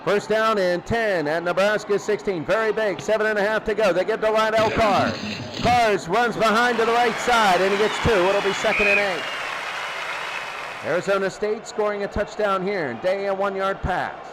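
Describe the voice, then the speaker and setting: Male football commentator, high register, excitable and conversational, noisy recording. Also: normal speed, a crowd in the background. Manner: excitable, conversational asides between plays. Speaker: play-by-play commentator of a simulated football tournament. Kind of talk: live American football radio-style commentary.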